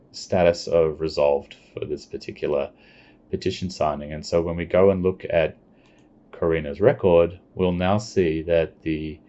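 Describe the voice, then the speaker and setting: Deep-voiced man demoing software. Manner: plain step-by-step explaining, unhurried. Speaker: software demonstrator. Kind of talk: screencast product walkthrough. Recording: dull lo-fi recording.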